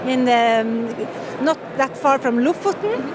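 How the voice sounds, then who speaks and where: Woman, 50s, Norwegian accent, musician being interviewed outdoors; high voice, hesitant, restarts often; traffic noise behind. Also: expressive, measured pace. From northern Norway. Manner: hesitant, many fillers and restarts, laughs often. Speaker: fiddle player and composer. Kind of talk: casual interview. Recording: loud street noise behind.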